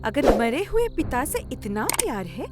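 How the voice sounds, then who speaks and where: A woman's voice: on the high side, sing-song, a little slow, over loud music. A woman voicing a cruel, greedy stepmother in a cartoon story, taunting and bossy. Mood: scornful. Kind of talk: Hindi cartoon moral-story villain acting.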